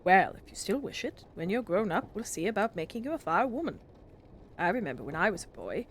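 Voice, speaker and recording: Storybook dad, hearty and encouraging, lively intonation; female reader plays him. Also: medium pitch, a little hiss or hum. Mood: hearty, cheerful. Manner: brisk, jovial, encouraging. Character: cheerful, hearty, reassuring father of an Edwardian family. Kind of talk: storybook character reading.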